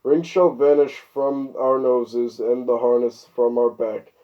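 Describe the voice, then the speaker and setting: A hoarse old boar, voiced by a man, declaims like a solemn storybook elder rousing his comrades. His pitch is medium, and the sound is dull and lo-fi. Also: flat delivery, takes it slowly, lightly acted. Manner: solemn, declaiming song verses. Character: old male boar, rousing revolutionary elder of farm animals.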